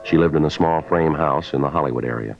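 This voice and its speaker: An actor playing a stoic, businesslike police detective, clipped and matter-of-fact, in a muffled 1950s radio crime drama. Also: male voice, a bass voice, fast, vocal fry, faint music behind. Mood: deadpan. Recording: muffled old radio broadcast.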